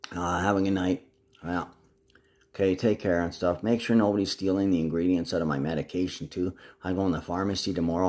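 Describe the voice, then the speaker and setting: A man's voice: deep voice, a little mellow. A man talking casually to the camera in a rambling, agitated monologue full of swearing.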